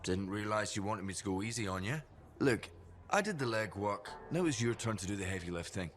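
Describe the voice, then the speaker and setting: A cynical, disgruntled male agent, acted by a man for a video game. His voice sits a little low, and he talks in a blunt, sardonic way. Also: expressive, brisk, close to an everyday voice. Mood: wry, brisk. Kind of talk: video game cinematic acting.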